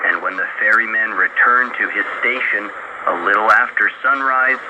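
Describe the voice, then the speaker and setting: A man narrating a scripted literary tale in a measured storytelling manner for a radio broadcast. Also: fairly low pitch, fairly even intonation, muffled recording, very noisy. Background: loud music.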